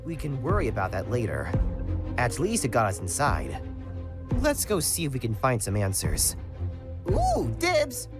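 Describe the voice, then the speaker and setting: A woman's voice: extremely low pitch, wildly swooping pitch, measured pace, full character voice. A woman voicing a cocky, upbeat young male hedgehog adventurer in a fan comic dub, playful and breezy. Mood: breezy confidence. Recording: loud music behind.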